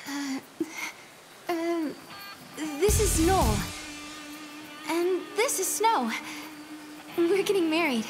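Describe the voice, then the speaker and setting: An actress playing a sweet, earnest, caring young heroine in a dramatic video game. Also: female voice, very high-pitched, lively intonation, drawn-out words, pauses often, full character voice, poor audio. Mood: awkward, sheepish. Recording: loud game music behind the voice.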